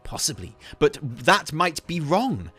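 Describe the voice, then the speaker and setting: A man's voice: fairly high pitch, sing-song, takes it slowly, bright, forceful tone, slightly rough, soft background music, exaggerated voice. A man voicing an obsessive, rambling scholar-explorer in a theatrical, grandiose reading of fantasy game expedition notes. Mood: pensive, rueful.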